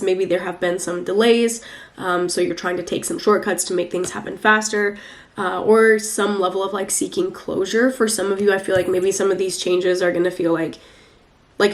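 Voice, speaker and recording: Young woman, flu-congested and nasal, chatty tarot reader who rambles with lots of fillers. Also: mid-range voice. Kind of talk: casual weekly tarot reading.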